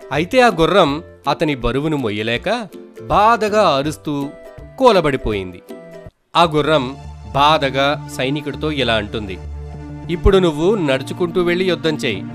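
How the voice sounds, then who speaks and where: A man's voice: a little high, fairly bright tone. A man narrating scripted moral stories for children as a storyteller.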